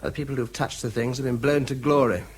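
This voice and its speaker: An actor playing an earnest young sapper officer with a British accent, polite, hesitant and understated. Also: male voice, medium pitch, fairly even intonation, quick pace, slight background noise, close to an everyday voice. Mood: grave, puzzled. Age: young adult. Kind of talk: radio drama dialogue.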